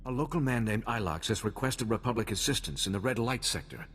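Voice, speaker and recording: Earnest, formal diplomat for game cutscene. Medium pitch, very expressive intonation, conscientious temper. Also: male voice, a little fast, in a large hall, a little hiss or hum. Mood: troubled, grateful. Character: earnest, principled male Republic diplomat, measured and troubled. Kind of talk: video game cutscene acting.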